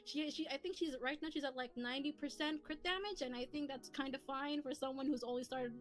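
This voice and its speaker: A woman's voice: high-pitched, steady tone, brisk. A woman hosting a casual stream, chatting and giggling with lots of fillers. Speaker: streamer and host. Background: faint lofi music.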